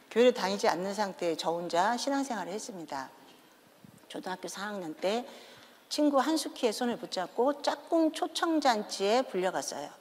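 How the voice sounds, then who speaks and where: Woman, 50s, Chungcheong accent, pastor's wife, animated storyteller, very expressive, long hall echo. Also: medium pitch. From Daejeon, South Korea. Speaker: pastor's wife, guest speaker. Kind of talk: humorous church testimony. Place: large church hall. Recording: echoey church hall.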